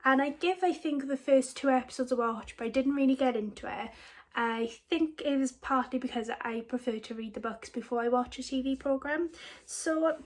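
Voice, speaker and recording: A woman's voice: high voice, moderate pace. A young woman with a Welsh accent, chatting casually and rambling a little as she shows off a book haul. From Wales. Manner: chatty, rambling, giggly asides. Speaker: book vlogger.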